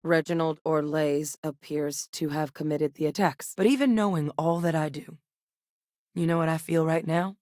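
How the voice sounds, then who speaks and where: Low-voiced, no-nonsense sci-fi military veteran played by an actress. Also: female voice, steady tone, normal speed, a little mellow, dry recording, clean studio sound, natural voice. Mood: composed, matter-of-fact. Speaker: video game voice actress. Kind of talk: scripted video game character dialogue.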